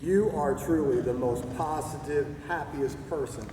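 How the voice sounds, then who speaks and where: Middle-aged guy doing an emotional retirement speech, kinda hoarse, far off in a big echoey hall. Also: medium pitch. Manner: heartfelt, halting, with fillers and throat-clearing. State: losing his voice. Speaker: retiring army staff sergeant giving a speech. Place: ceremony venue. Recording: echoey distant hall recording with noise.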